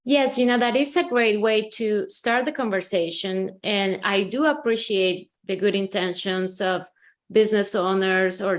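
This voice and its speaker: Colombian-born woman consultant explaining steps. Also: mid-range voice. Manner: measured, explanatory, frequent um fillers. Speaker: consultant, company CEO. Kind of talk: webinar presentation.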